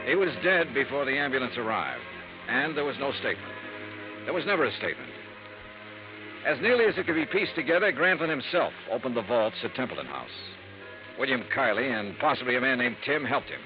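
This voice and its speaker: An American man playing a brisk, wry insurance investigator in a radio noir drama, with clipped, punchy delivery. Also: medium pitch, big pitch swings. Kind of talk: 1950s radio noir acting with first-person narration. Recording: muffled old radio recording with music.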